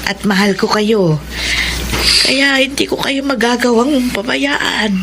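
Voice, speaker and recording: A woman's voice: a little high, takes it slowly, background noise. A woman with a Filipino accent playing a devoted, self-sacrificing widowed grandmother of sixty in a radio drama, earnest, tender and plain-spoken. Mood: tender, caring. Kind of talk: radio drama acting and first-person narration.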